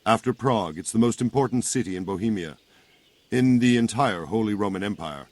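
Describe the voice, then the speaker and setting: A man's voice: lowish voice, animated, brisk, no echo. An actor playing a seasoned, plain-spoken soldier captain in a video game, patiently explaining things to a younger man. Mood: grave, instructive. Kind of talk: video game cutscene acting.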